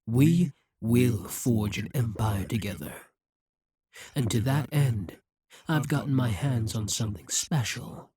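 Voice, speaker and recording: A man's voice: medium pitch, takes it slowly, warm tone, slightly rough, no echo. A man voicing a possessive, scheming male demon king in an audio roleplay, talking in a coldly menacing, coaxing way. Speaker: voice actor.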